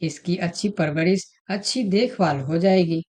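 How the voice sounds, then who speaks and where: A persuasive female animal from a cartoon fable acted by a woman. Her voice is slightly low and mellow. She takes her words very slowly. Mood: earnest. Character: female talking animals, fox and elephant, in a fable. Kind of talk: Hindi animated moral story dialogue.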